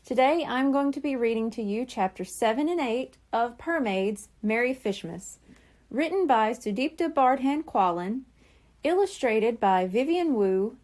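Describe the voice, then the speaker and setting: A woman reads a children's chapter book aloud. Her voice is high and swings widely, expressive and animated throughout. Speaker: librarian reading aloud. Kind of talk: children's storybook read-aloud.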